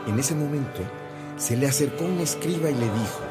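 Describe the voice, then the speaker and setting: A man playing a devout, gentle missionary priest in a radio drama, with a solemn, measured delivery. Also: mid-range voice, close to an everyday voice. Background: background music.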